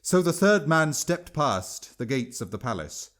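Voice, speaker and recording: A man's voice: medium pitch, sing-song, unhurried, fairly bright tone. A man narrating a written story as an audiobook-style reader and its author.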